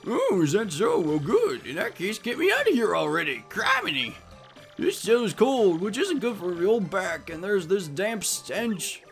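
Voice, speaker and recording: A cranky old elder of a tiny creature tribe, acted by a woman, scolds in a creaky voice that swings widely in pitch. The bossy game character speaks over music. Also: big pitch swings, measured pace. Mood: grumpy. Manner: scolding, domineering.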